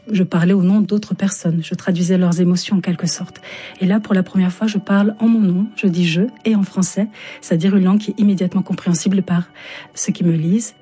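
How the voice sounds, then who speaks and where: A woman's voice: fairly low pitch, fairly even intonation, fairly quick, mellow, husky, faint music behind. A woman born in Istanbul and raised in France, a native Turkish speaker, answering reflectively and thoughtfully as an interview guest. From born in Istanbul, grew up in Chartres, France. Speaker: interview guest, author and singer.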